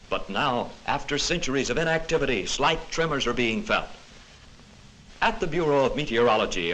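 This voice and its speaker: A solemn male storyteller, played by an actor, narrates a vintage adventure cartoon with lively rises and falls. The 1940s film soundtrack is old, with a faint layer of noise. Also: mid-range voice, lightly acted, low-quality recording. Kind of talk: 1940s dramatic scripted narration.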